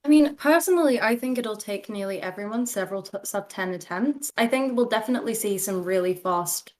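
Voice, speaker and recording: A female esports co-commentator speaks in a casual, conversational way. Her voice sits at a middle pitch, as in relaxed livestream casting between two hosts. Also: a little fast.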